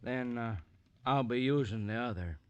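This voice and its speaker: An actor playing a wary, folksy small-town sheriff in an old radio western, a little hesitant and reluctant to take risks. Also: male voice, medium pitch, normal speed, clean tone, muffled recording, close to an everyday voice. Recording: noisy vintage radio recording, clicks.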